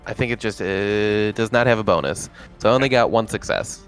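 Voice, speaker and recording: Low, gravelly man playing a tabletop game. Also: brisk, faint music behind. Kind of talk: casual chat.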